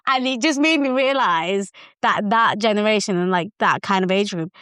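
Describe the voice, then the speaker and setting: A woman chatting casually as a podcast co-host, telling things in an animated, conversational way. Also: mid-range voice, moderate pace, clean tone, no room sound, clean studio sound.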